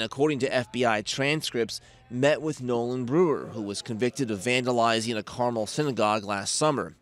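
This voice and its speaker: A man narrating a local TV news report in an even, measured newsreader delivery. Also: mid-range voice.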